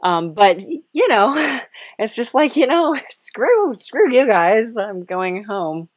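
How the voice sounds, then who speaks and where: A woman's voice: a little high, big pitch swings, slow, dry recording, clean studio sound. A woman hosting a casual comic podcast interview in a hesitant, awkwardly chirpy, giggly manner. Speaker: podcast host.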